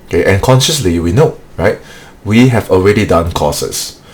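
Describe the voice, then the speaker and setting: Deep-voiced man coaching sales. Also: lively intonation, moderate pace. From Singapore. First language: not English. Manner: earnest, repetitive, peppers speech with "okay". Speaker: sales trainer hosting weekly live training. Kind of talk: motivational live-stream training.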